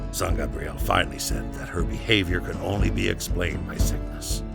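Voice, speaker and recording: A man playing a guilt-worn labor camp inmate, telling his story in a halting, profane, brooding way with a gravelly voice, as an audio drama monologue. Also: low-pitched, fairly even intonation. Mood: grim, brooding. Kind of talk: first-person confessional audio drama monologue. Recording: music under the voice.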